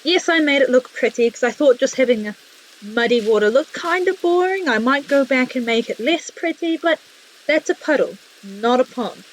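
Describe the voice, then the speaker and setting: A woman's voice: high voice, animated, normal speed, slight background noise, low-quality recording. A woman with a New Zealand accent giving a casual, chatty voice-over for a gaming build vlog, candid and self-deprecating. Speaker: video creator, narrator.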